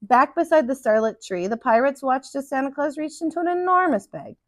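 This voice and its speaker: A woman reading a storybook aloud for children in an animated, dramatic teacher's style. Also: high-pitched, fairly quick, noisy background.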